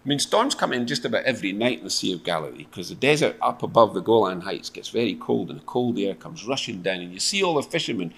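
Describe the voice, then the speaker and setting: A man's voice: lowish voice, animated, fast talker, gravelly, few pauses, a bit bright, husky. A man in his fifties preaching in a conversational storytelling style with wry asides and rhetorical questions. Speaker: church preacher. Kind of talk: sermon with storytelling and acted-out dialogue. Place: church hall, speaking to a seated congregation. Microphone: lectern microphone and lapel mic.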